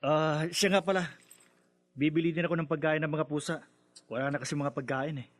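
A man's voice: fairly high pitch, fairly even intonation, fairly quick, dry recording, lightly acted. A man playing a defensive, put-upon young adult son in a Filipino radio drama, with a protesting manner. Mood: resigned.

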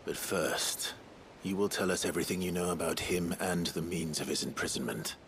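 An actor in a video game cutscene playing a world-weary outlaw leader, grave, guarded and dryly formal, with a gravelly voice. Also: male voice, low voice, a bit bright, a little hiss or hum. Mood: earnest, resolute. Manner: measured, dry, formal.

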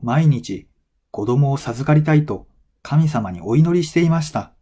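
A man reading a folktale as a scripted narration for language learners, in measured, clearly phrased storytelling. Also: medium pitch, lively intonation, dark tone.

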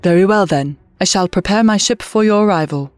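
A woman voicing a regal, scheming alien empress with a formal, measured manner, in an audio drama. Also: medium pitch, over music, close to an everyday voice.

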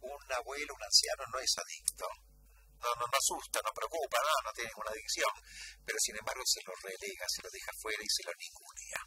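Sharp, animated Argentine male radio host. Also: mid-range voice, a little fast, slight background noise. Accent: Rioplatense Argentine Spanish. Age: middle-aged. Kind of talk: conversational interviewing. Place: small radio studio. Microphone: studio microphone.

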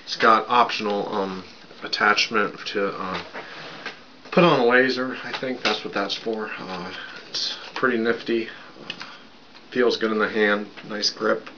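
A raspy man in his thirties rambling casually at his webcam in a small bedroom, with lots of fillers while he drinks. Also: fairly low pitch, steady tone, a little slow. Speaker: vlogger. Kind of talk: casual show-and-tell vlog. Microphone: webcam at desk distance. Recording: dull lo-fi sound with background noise.

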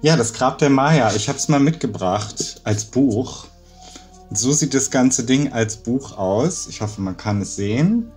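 A man's voice: medium pitch, lively intonation, measured pace, a little mellow, faint music behind. A middle-aged man, an author, telling an anecdote in an interview over a video call. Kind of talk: storytelling in an interview. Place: living room indoors, video call.

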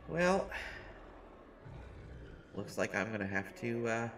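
A man's voice: fairly low pitch, very animated, slow pace, vocal fry, slight background noise, exaggerated voice. A man voicing a short teenage boy who jokes nervously and pokes fun at himself in a listener-directed audio roleplay. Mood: chatty. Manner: rambling, self-mocking, nervous humor. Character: short teenage boy, joking and self-deprecating.

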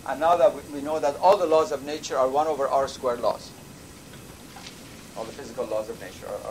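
An older man, a native Persian speaker from Iran, giving a measured university lecture with thoughtful pauses. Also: mid-range voice. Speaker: professor. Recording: lecture recording with audible background noise.

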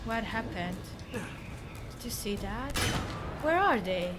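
A young woman from Bosnia and Herzegovina streaming a game live, excitable, with a voice partly lost, on a headset at home. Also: mid-range voice, takes it slowly, over loud music. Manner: excitable, shouts and laughs at the action.

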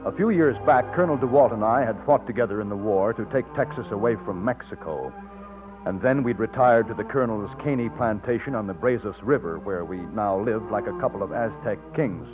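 An actor playing a loyal, plainspoken young American aide from Texas, folksy and deferential, in an old-time radio drama. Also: male voice, lowish voice, fairly quick, phone-quality sound, music in the background, close to an everyday voice. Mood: reminiscing. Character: loyal, plainspoken young Texan aide recounting his past. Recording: band-limited old radio recording.

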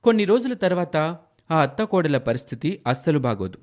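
Man, scripted story narration, raised pitch, highly animated intonation. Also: brisk.

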